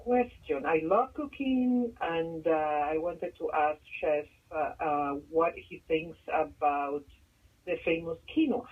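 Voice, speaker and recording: A woman from Peru calling in to a radio show, eager and halting with fillers as she asks her question. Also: low voice, husky. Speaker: caller. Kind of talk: phone-in question. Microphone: telephone. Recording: tinny phone line.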